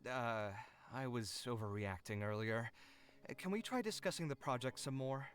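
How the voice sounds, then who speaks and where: A man voicing a calm young male game character who speaks in a measured, conciliatory way. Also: medium pitch, lightly acted.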